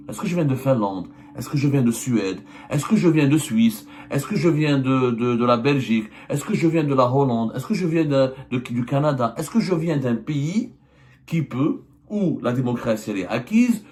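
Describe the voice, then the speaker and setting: A man's voice: mid-range voice, lively intonation, moderate pace, darkish tone. A man, a journalist and channel host delivering a political commentary monologue, emphatic and full of rhetorical questions. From Algeria. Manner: hesitant fillers and restarts.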